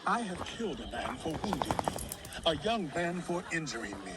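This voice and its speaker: An actor playing a boastful, vengeful biblical patriarch in a declamatory audio Bible drama. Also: male voice, a little high, sing-song, moderate pace, heavy background noise. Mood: boastful, menacing.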